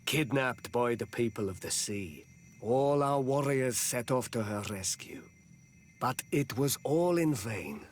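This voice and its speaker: An actor playing a measured male clan chief in a video game, solemn and deliberate. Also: mid-range voice, lively intonation, a little hiss or hum, lightly acted. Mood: sombre. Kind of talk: video game cutscene acting.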